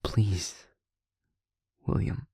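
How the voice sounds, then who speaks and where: A man playing an anxious, tender, protective young vampire boyfriend in an intimate audio roleplay, speaking haltingly and often in a whisper. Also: darkish tone, dry recording, studio quality. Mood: pleading, grave.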